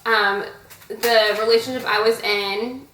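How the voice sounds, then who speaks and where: A woman's voice: a little high, steady tone, unhurried, a bit bright, echoey room, recorded from a distance, slight background noise, poor audio. A young woman vlogger telling a story in a chatty, giggly way. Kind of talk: casual storytelling chat.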